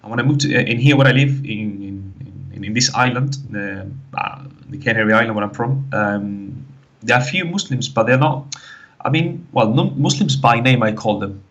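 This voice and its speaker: Hesitant caller with a Spanish-British accent. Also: male voice, mid-range voice, moderate pace, fairly bright tone. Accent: mixed Spanish and British English. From Spain and the UK. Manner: hesitant, heavy with fillers. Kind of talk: call-in conversation. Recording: dull lo-fi phone call.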